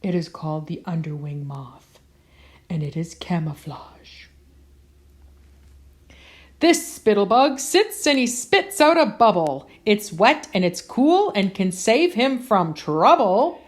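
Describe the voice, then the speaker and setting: A woman's voice: medium pitch, very animated, slow pace. A woman reading a children's picture book aloud in a theatrical, emphatic, playful way.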